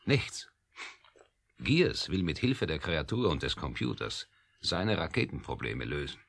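An actor playing a gloomy, guarded colleague in a radio drama, terse and cautionary. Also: male voice, very deep voice, sing-song, a little slow, vocal fry, a bit bright, full character voice. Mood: grave, warning. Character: gloomy, guarded male colleague giving warnings. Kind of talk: 1980s German radio drama acting.

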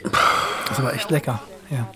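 A man's voice: medium pitch, normal speed, pushed and bright. A man playing a coaxing, food-loving friend in a naturalistic, colloquial radio drama.

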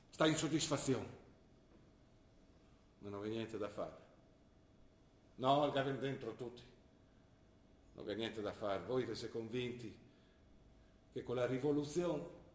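A man's voice: on the high side, close to an everyday voice. An older man playing an ill, worried father who lectures and pleads with his daughter, in an earnest manner. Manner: earnest, lecturing, rising to anguished pleas. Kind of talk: stage drama acting. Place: theatre stage. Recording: roomy stage recording.

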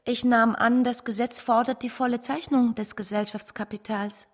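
An actress plays a principled woman in a radio drama. Her voice is fairly high with a husky edge, and she speaks candidly, in an earnest, measured, thoughtful manner. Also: fairly even intonation, lightly acted. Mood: earnest, doubting. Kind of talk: German radio drama acting.

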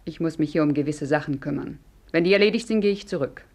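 A woman's voice: deep voice, fast, darkish tone. An actress playing a cool, self-assured woman guest with a dry, mocking manner in a 1950s German radio play.

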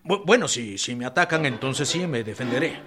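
An actor playing a resolute revolutionary general in a Spanish-language radio drama, speaking in formal declarations. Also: male voice, mid-range voice, expressive, fairly quick, lightly acted. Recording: music bed behind the voice.

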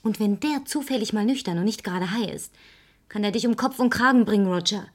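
A woman's voice: medium pitch, fairly quick, natural voice. An actress playing a resolute, reproachful woman who confronts others pointedly and dramatically in a vintage German crime radio play. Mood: warning, tense.

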